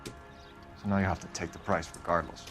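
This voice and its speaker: Low-voiced, formal American police detective played by an actor. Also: male voice, level tone, moderate pace, lightly acted. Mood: formal, probing. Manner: clipped, formal, official. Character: male 1940s Los Angeles police detective, formal and probing. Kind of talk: video game dialogue, questioning witnesses. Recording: music behind the voice.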